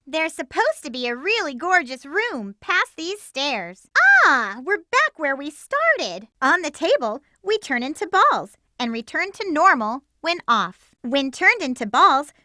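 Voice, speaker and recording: A woman's voice: high-pitched, sing-song, measured pace, pushed and bright, no room sound, clean studio sound, full character voice. A woman voicing a plucky, bossy young female cartoon hedgehog in a video game, with a peppy, emphatic, girlish delivery. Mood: perky, instructive. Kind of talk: animated game voice lines.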